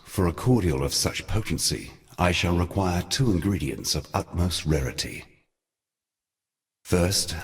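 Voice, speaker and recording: An ancient fallen deity with an extremely low voice. He speaks like a weary, eloquent schemer from a fantasy game, every phrase grave, archaic and measured. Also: heavily acted. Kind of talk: theatrical scripted fantasy game dialogue.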